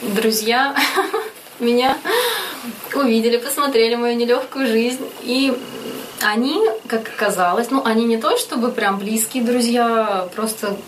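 A woman's voice: a little high, a little slow, distant mic, slight background noise. A woman guest in a casual interview, chatting with frequent laughs and hesitant fillers.

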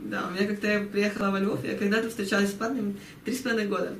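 A woman's voice: medium pitch, steady tone, rapid-fire. A woman in her early twenties from Ukraine, chatting casually as an interview guest, often chuckling and now and then dropping in English words. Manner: giggly, chuckles often while talking. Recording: echoey room, distant mic, faint noise.

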